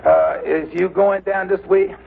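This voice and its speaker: A polite, earnest young worrier in a vintage radio sitcom. His voice is high and heavily creaky, with hesitant folksy phrasing. The recording is thin and narrow. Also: steady tone, measured pace, a little hiss or hum. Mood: shy. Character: earnest, shy, worrying, good-natured young man. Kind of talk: old-time radio sitcom acting.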